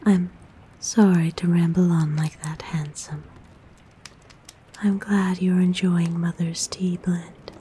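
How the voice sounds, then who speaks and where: A tender forest witch acted by a woman speaks in a soft, breathy whisper, low and dark in tone. She is kind and soothing, as in an ASMR roleplay, with faint ambient noise behind her. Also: flat delivery, takes it slowly. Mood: gentle. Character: kind, gentle forest witch sheltering a lost traveler.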